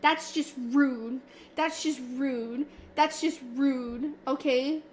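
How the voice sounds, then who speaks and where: A high-voiced woman streaming live, nasal and a little stuffy from a cold. She talks at an unhurried pace, playful and giggly with her chat. Faint noise hums under her desk mic. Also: steady tone. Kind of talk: casual livestream chat with viewers. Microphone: desk mic, moving closer and farther.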